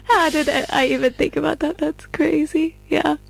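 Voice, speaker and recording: A woman's voice: high voice, steady tone, moderate pace, vocal fry, no echo. A woman in her mid-thirties chatting casually in a frank, colloquial way. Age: mid-30s. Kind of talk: casual chat.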